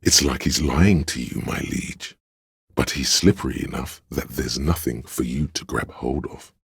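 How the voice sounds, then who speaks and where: Gravelly, theatrical, very deep courtly schemer. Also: male voice, a little slow, no echo, clean studio sound, heavily acted. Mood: sly, theatrical. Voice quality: gravelly, husky bass. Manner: grandiose, archaic courtly diction, conspiratorial. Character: theatrical, sly, flattering inner voice of a detective, courtly lie-sniffer. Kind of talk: scripted video game voice acting, mock-Shakespearean.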